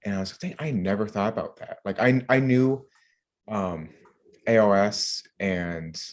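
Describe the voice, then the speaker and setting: A man co-hosting a podcast, chatting casually in a rambling, halting way with frequent filler words. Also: on the low side. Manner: rambling, halting, filler-heavy delivery with many "like"s. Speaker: podcast co-host. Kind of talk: casual group chat.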